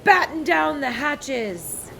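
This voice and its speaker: Woman playing a blustery, high-pitched pirate captain. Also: animated, slow, gravelly, fairly bright tone, a little hiss or hum, full character voice. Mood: bellowing. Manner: hearty, commanding pirate talk. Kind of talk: storybook read-aloud.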